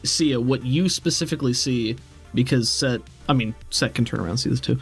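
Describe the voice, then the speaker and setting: Man, game master, mid-range pitch, cinematic descriptive narration, tabletop role-playing game, light music underneath. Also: a little fast, gravelly, slightly rough. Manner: descriptive, cinematic scene-setting.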